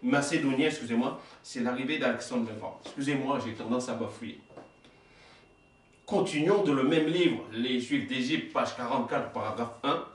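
A man's voice: mid-range voice, normal speed, a little hiss or hum. A man in his forties preaching in a small indoor worship room, reading passages aloud and explaining them with rhetorical questions, sometimes stumbling and correcting himself. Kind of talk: sermon reading and explaining texts. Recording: echoey room, voice from a distance.

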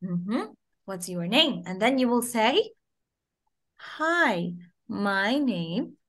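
A woman in her twenties from the Philippines, teaching English to a child over a video call and modelling phrases slowly in a sing-song way. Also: mid-range voice, big pitch swings, fairly bright tone. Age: 20s.

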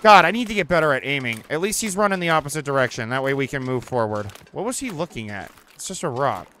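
A young man giving casual, chatty commentary over a survival game, reacting out loud and swearing now and then. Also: medium pitch, lively intonation, fast. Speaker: gaming channel host. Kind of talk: casual let's-play commentary. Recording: faint game ambience behind.

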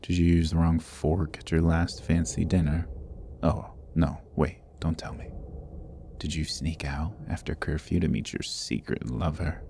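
Man acting a very deep, gravelly roguish thief. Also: steady tone, a little slow, warm tone, slight background noise, exaggerated voice. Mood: teasing, sarcastic. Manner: wry, teasing, often dropping toward a murmur. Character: sardonic, roguish male thief jailed in a fantasy dungeon. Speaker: audio roleplay voice actor. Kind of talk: ASMR fantasy roleplay acting.

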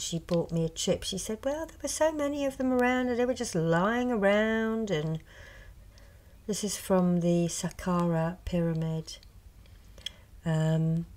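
A middle-aged woman, a reiki healer, speaking softly and slowly in a partly whispered show and tell, a little hoarse from a cold. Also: fairly low pitch. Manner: slow, soft, partly whispered. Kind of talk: soft-spoken ASMR show and tell. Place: indoors at a table. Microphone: close mic over a tabletop.

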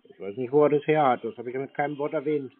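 A husky-voiced man in a phone conversation, answering in a matter-of-fact, contrary way. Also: medium pitch, very fast. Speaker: call recipient. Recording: muffled, narrow phone line.

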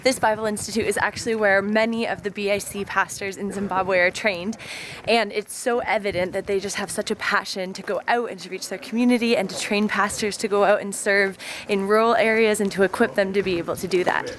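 A young woman with a mid-pitched voice speaks earnestly into a handheld camera. She explains things plainly to the viewer. Faint noise hums in the background. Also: fairly even intonation, a little fast, fairly bright tone. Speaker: team member on a learning trip. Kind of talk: informative vlog narration.

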